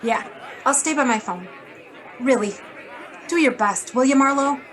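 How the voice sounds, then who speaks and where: A sardonic woman editor in a noir radio play. The voice is fairly high, clipped and blunt, with some crowd murmur behind it. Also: expressive, unhurried. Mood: businesslike. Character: brisk, sardonic, worried female magazine editor. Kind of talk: hard-boiled radio drama acting.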